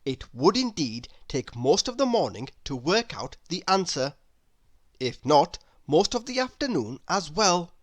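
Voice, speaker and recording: Slow, very animated woman reading a storybook. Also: low voice, no echo, studio quality. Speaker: storybook reader. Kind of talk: read-aloud children's story narration.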